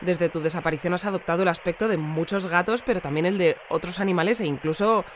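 An actress playing a polite, composed female news presenter, speaking earnestly and in measured phrases in an audio fiction bulletin. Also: lowish voice, slight background noise, close to an everyday voice. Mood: curious, polite.

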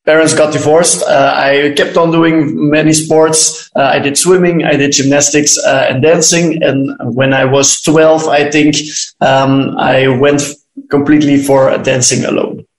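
Belgian guy late 20s being interviewed, kinda high voice, echoey room. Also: level tone, a little slow. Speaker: podcast guest, dancer and teacher. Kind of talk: interview answers.

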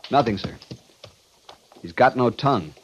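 An actor playing a sober junior cavalry officer who reports tersely and formally in a 1950s radio western. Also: male voice, medium pitch, lively intonation, a little slow, lightly acted. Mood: grim. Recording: muffled, noisy old radio recording.

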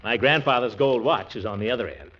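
Pompous, wildly animated old-time radio uncle. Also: male voice, mid-range voice, brisk, husky. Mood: self-important. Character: pompous, blustering middle-aged uncle, vain small-town big shot. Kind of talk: 1940s radio comedy acting. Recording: muffled vintage 1940s radio recording.

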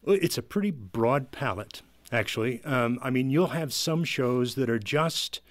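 A man's voice: mid-range voice, expressive, a little slow, no echo. Guy guest on a casual panel, regular mid voice, dry studio-ish sound. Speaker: guest from an audio drama team.

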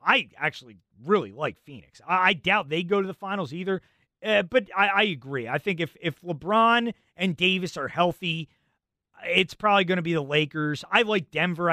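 A man's voice: on the high side, dry recording, studio quality. A young adult man hosting sports talk radio, conversational and filler-heavy. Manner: animated, conversational, many fillers.

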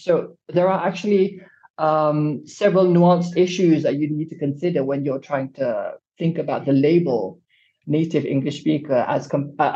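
Gravelly, hesitant Malaysian man, dull sound. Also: on the high side, mellow. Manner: hesitant, many fillers and self-corrections. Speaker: interview guest on language. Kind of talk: thoughtful radio interview answers.

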